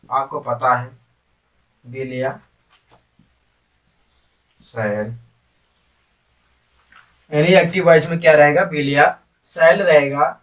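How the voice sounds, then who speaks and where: A man teaching grammar like a classroom teacher, repeating key phrases and putting questions to his students. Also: a little high. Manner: repetitive, question-and-answer teaching, addressing students. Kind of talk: classroom-style grammar lesson in Hindi with English terms. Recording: distant microphone.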